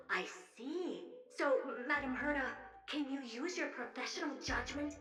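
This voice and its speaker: A woman's voice: high-pitched, animated, unhurried. A woman voicing a timid, polite talking mirror in a video game, eager, deferential, stammering and easily startled, with a reverberant effect. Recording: echoing, distant-sounding game audio over music.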